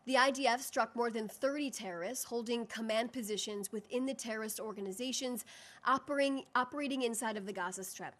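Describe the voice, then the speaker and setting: A woman speaking as a government spokesperson at a formal press briefing, firm and emphatic, with deliberate phrasing. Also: fairly high pitch, a bit bright. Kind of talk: formal press briefing, prepared statement and answers to questions.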